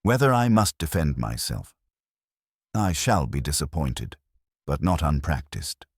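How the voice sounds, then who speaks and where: A man's voice: a bass voice, sing-song, a little slow, breathy edge, dry recording, clean studio sound, exaggerated voice. A man voicing a prim, imperious older English widow who speaks in precise, formal aphorisms for an audio mystery drama. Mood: dry, self-assured.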